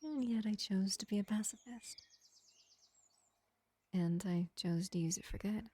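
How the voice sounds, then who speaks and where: A woman playing a gentle, ancient pacifist vampire in an intimate fantasy roleplay, speaking in an unhurried, soothing half-whisper. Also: mid-range voice, close to an everyday voice. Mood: soft, confiding. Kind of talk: slow intimate ASMR-style fantasy roleplay.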